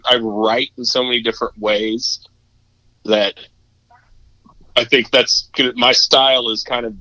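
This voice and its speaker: A man with a naturally low voice chats loosely as an interview guest, rambling and self-deprecating. His tone is bright and tense. The recording is dry and muffled. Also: no echo. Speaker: musician guest. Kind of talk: casual interview chat.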